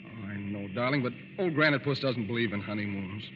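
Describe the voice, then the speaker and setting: A man's voice: lowish voice, a little fast, natural voice. An actor playing a nervous, sheepish young newlywed man in old-time radio style, hesitant and stammering. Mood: evasive. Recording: muffled, faintly noisy vintage radio recording.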